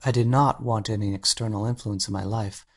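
An actor playing an earnest, anxious painter in a dramatic audiobook, speaking intensely and articulately. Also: male voice, fairly low pitch, fairly even intonation, fairly quick, husky. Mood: fervent, troubled. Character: earnest, anxious male painter confessing his obsession.

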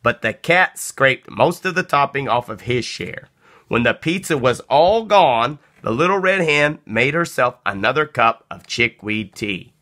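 A man reading a children's story aloud as narrator, with expressive, deliberate phrasing. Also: mid-range voice. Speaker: storybook reader.

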